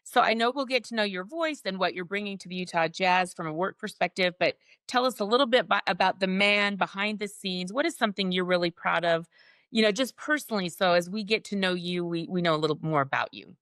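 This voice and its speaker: A woman's voice: medium pitch, fairly quick, fairly bright tone, no room sound. A woman hosting an interview in a casual, conversational style. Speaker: interview host.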